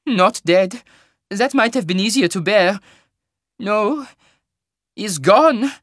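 Man acting elderly village baker woman, high voice, slow, plaintive, kindly, strong accent, audiobook fantasy storytelling, dry recording. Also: lively intonation, fairly bright tone, no room sound, full character voice. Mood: grieving. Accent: strong foreign-sounding fantasy accent.

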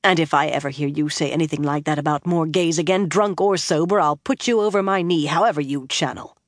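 A woman voicing a gruff older male bard who speaks low, soft and weary. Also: brisk, natural voice. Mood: stern.

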